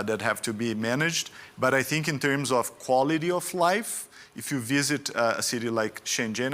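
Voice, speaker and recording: A man speaking as a panelist at a conference, explaining at length with frequent pauses and fillers. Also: mid-range voice, a bit bright. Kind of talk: panel discussion remarks.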